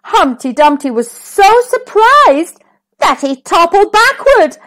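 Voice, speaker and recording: A woman hosts a children's read-aloud in a high voice. She narrates expressively at a slow pace, with very lively rises and falls for young listeners. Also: low-quality recording. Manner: animated, deliberate. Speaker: children's story reader and host.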